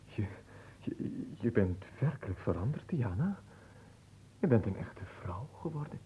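A man playing a solemn, smitten nobleman in a radio play, speaking earnestly and haltingly. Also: on the low side, very animated, warm tone, slight background noise, low-quality recording. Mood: uneasy, earnest. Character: solemn, hesitant young nobleman in love. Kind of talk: theatrical radio play acting.

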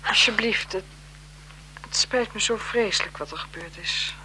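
An actress playing an anxious, guilt-ridden French marquise in a radio drama, speaking formally in halting phrases. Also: female voice, mid-range voice, sharp tone, low-quality recording. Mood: agitated, apologetic. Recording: 1970s radio recording with noise.

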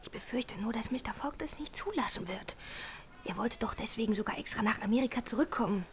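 Woman acting a gentle young lady, slightly high voice, careful, polite, anxious, radio play, faint background noise. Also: quick pace, natural voice. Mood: anxious, hushed. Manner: polite, careful, often hushed. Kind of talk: audio drama acting.

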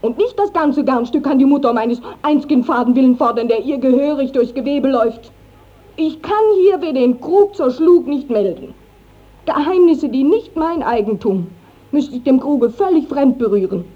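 High-voiced, husky, earnest village maiden. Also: female voice, expressive, moderate pace. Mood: solemn defiance. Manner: solemn, declamatory. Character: earnest, proud, guarded young village maiden. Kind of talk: classical German stage-style radio acting. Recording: dull 1950s radio drama recording.

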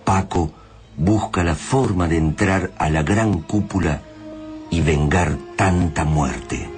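Radio drama narrator: Argentine, low register, solemn and measured, over prominent music. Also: male voice, a little mellow. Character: solemn male narrator of an alien invasion tale.

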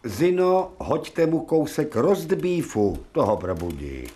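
An actor playing an older, cultured gourmet professor in a radio play, lordly and opinionated, lecturing with pompous emphasis. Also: male voice, on the high side, sing-song, warm tone, husky. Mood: commanding, benevolent.

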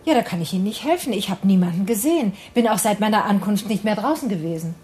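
A woman's voice: mid-range voice, animated, quick pace, darkish tone, natural voice. An actress playing a guarded middle-aged woman in a radio drama, educated and evasive, nervous yet defiant. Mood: defensive, ironic.

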